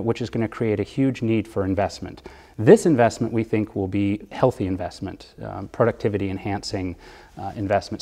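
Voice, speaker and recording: A man being interviewed as a bond fund manager, explaining economics in a measured way with occasional fillers. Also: on the low side, warm tone, in a large hall.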